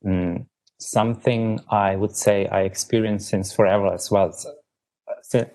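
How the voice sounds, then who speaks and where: A man in his thirties from Slovenia, answering interview questions in a halting, reflective way, with many pauses and restarts. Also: on the low side, fairly even intonation, dark tone. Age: 30s. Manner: halting, thinks aloud with many ums and restarts. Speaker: poet guest.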